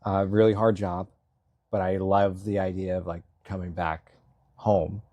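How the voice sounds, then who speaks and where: Man, thirties, Massachusetts-raised, low voice, rambling, self-deprecating, chuckles, dry close sound. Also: flat delivery, a little slow, no echo, lo-fi sound. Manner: rambling, self-deprecating, laughs often, many fillers. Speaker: selectboard candidate, guest. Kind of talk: casual interview talk.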